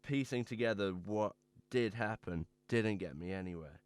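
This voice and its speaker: A man playing a troubled, hard-drinking man who tells his own story in halting, haunted phrases for a radio drama. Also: lowish voice, smooth, no echo, natural voice. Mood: brooding. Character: troubled, drunken man confessing his ruin. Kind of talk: dramatic first-person radio drama monologue. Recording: music playing behind the voice.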